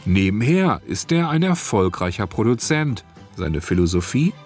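A man's voice: fairly low pitch, very animated, normal speed. A man narrating a scripted radio trailer. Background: guitar music.

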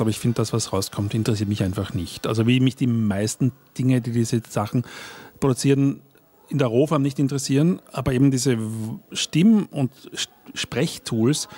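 A male panel guest explains his creative process in a voice of middling pitch. He thinks aloud, stopping and restarting his sentences, with fillers between his thoughts. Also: darkish tone. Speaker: guest, radio play and book author. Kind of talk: conversational panel talk. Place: at a live panel event.

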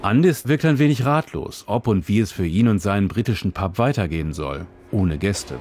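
A man narrating a scripted radio reportage in a measured, descriptive storytelling manner. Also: lowish voice, lively intonation, background noise.

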